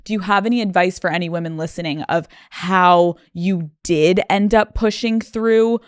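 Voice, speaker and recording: Chatty woman host, mid-pitched and dry. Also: moderate pace, clear, resonant tone, no echo. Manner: enthusiastic, supportive, swears casually, mimics voices. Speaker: podcast host. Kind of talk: interviewing, casual chat. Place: podcast studio.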